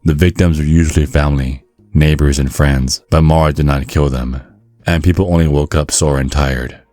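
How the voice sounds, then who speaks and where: A man reading scripted ASMR narration in a measured, storytelling manner. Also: rumbling low, fairly even intonation, a little mellow, no room sound, soft background music.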